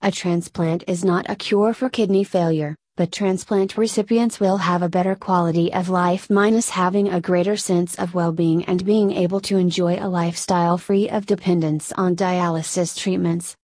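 Mellow-voiced woman reading a feature article. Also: fairly low pitch, steady tone, moderate pace, hardly any pauses, no echo, clean studio sound. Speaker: narrator. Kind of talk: scripted narration of a feature article.

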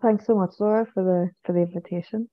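A woman's voice: medium pitch, steady tone, fast talker. A Northern Irish woman chats as an interview guest, repeating phrases and filling gaps with "you know". Her voice comes through thin and phone-like, with no room echo at all. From Northern Ireland. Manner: chatty, repeats words, tags sentences with "you know" and "so it is". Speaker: podcast guest, psychic medium. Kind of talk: casual interview chat. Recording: tinny phone-like line.

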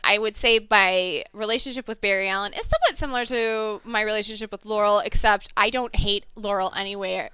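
A woman's voice: mid-range voice, pushed and bright, clean tone, dry recording. A woman co-hosting a casual podcast chat about TV shows, slightly nasal, rambling and self-correcting with frequent laughs. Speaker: podcast co-host and TV reviewer.

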